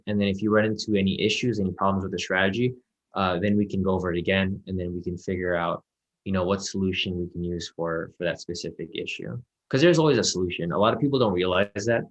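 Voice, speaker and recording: A man mentoring a student over a video call in a relaxed, reassuring way with many fillers. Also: low-pitched, rough recording. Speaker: trading mentor. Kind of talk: casual one-on-one mentoring call.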